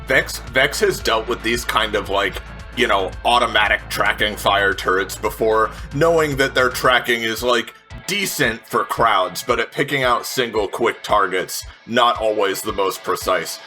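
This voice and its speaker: A man playing a tabletop game, chatting casually and thinking aloud in halting, repeated phrases with casual swearing. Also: on the low side, sharp tone. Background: faint music.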